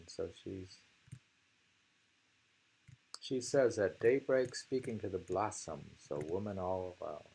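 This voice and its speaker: A man hosting a casual haiku discussion and reading poems aloud, in a halting, musing way that often trails off. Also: sits low, vocal fry, darkish tone, a little hiss or hum, low-quality recording.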